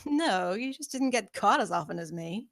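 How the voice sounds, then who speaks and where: A woman's voice: mid-range voice, expressive, normal speed, close to an everyday voice. A woman playing a sardonic young female hacker, casual, dismissive and slangy, in a screenplay table read. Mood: teasing.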